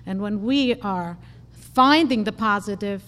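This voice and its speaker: A woman's voice: medium pitch, unhurried, fairly bright tone. A woman therapist speaking at an event, chatty and self-deprecating, with frequent fillers. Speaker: marriage and family therapist. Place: hall. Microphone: handheld mic, then speaks without one. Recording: reverberant hall, faint noise.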